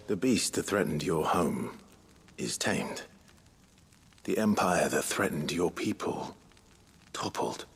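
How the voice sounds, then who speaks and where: Husky, low-voiced, weary warrior. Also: male voice, a bit bright, heavily acted. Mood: earnest. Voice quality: husky, breathy low rasp. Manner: measured, grave, understated. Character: battle-weary, earnest warrior leader, protective and dryly wry. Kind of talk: cinematic fantasy game acting.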